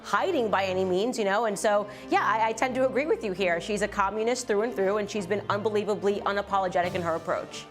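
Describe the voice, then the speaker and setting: A woman talks politics as an opinionated commentator. Her delivery is quick and conversational, at an everyday pitch, with music faintly in the background.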